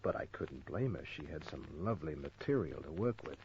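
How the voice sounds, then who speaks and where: A wry male private eye from an old radio crime drama. His delivery is clipped and wisecracking, with animated pitch swings. The recording is dull, with a faint layer of noise. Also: a little fast. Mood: leering, amused. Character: wry, hard-boiled male private detective narrating his case. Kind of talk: old-time radio detective drama acting and narration.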